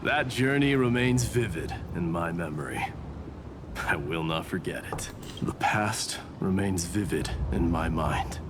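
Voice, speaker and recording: A man voicing a proud, fierce god of strife in a video game, speaking gravely and grandly. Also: low voice, expressive, a little slow, background noise. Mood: solemn, proud. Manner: grave, grandiose, formal speech. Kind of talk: dramatic video game voice acting. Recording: loud game music behind the voice.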